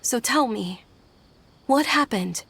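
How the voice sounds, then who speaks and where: An actress playing a gentle, perceptive young noblewoman in a video game, speaking in a polite, measured way. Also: fairly high pitch, animated, vocal fry, a little hiss or hum, heavily acted. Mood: concerned.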